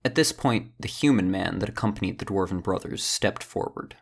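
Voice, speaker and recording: A man narrating an audio drama in a steady storytelling manner. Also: low-pitched, brisk, creaky. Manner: steady storytelling, does all character voices.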